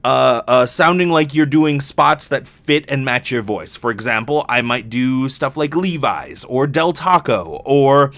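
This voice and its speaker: A man's voice: medium pitch, unhurried, few pauses, fairly bright tone, dry recording. A young adult man talking casually and candidly as a solo podcast host, rambling and swearing freely.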